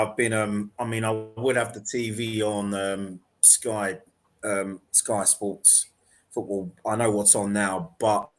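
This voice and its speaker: A man chatting casually on a football podcast, relaxed and hesitant with fillers. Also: fairly low pitch. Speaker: podcast co-host.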